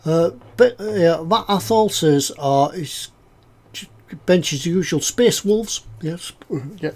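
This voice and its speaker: A man's voice: on the high side, takes it slowly, a little mellow. Snuffly man chatting while model building. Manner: rambling and chatty, with frequent "uh"s and self-repeats. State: snuffly from a head cold. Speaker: scale-model builder hosting a live stream. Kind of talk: casual livestream chat, reading out viewer comments.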